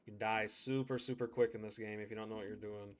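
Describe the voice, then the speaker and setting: A man's voice: fairly low pitch, steady tone, fast. Casual man commentating his gameplay. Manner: casual, chatty, thinks aloud while playing. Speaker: streamer.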